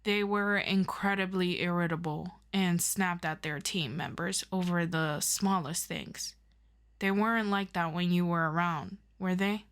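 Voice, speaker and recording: A woman playing a calm, formal superior of a superhero team in an audio roleplay, asking measured, probing questions. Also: lowish voice, clear, resonant tone. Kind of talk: scripted audio roleplay addressed to the listener.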